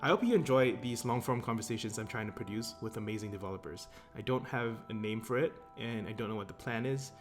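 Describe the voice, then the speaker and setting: Man, low voice, casual podcast host, chatty delivery, faint music. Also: fast, few pauses. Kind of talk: casual conversational interview.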